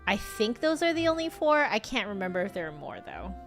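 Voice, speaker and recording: A woman's voice: medium pitch, sing-song, a little fast. A woman game streamer giving casual, informal live commentary, reacting as she goes. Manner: informal, reactive, hesitant asides. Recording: game music behind voice. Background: video game music.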